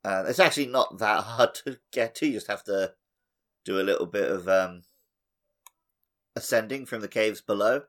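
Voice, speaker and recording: A man's voice: lowish voice, normal speed, pauses often, a bit bright. A man hosting a podcast, chatting casually about video games. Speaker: podcast host.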